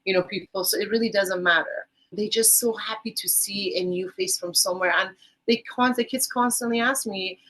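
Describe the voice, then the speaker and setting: A woman's voice: mid-range voice, normal speed, fairly bright tone, rough recording. A woman from Iran with a Persian accent, a guest on a podcast interview, talking enthusiastically about volunteer teaching, with a rambling, restarting manner.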